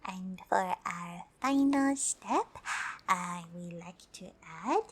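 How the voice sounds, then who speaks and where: A woman's voice: medium pitch, expressive, slow pace. Woman as a whispering, friendly little alien. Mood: delighted, soothing. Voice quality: soft breathy whisper. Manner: hesitant, formal, third-person self-reference with frequent uh. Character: friendly, excitable pink female alien, naively formal about humans. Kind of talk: whispered ASMR roleplay.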